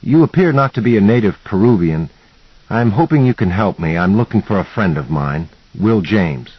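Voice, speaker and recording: A male reader plays an uneasy, searching hero in an audiobook. He is earnest and tentative, with a low, husky voice and a slightly muffled sound. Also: animated, normal speed, dry recording. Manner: questioning, tentative.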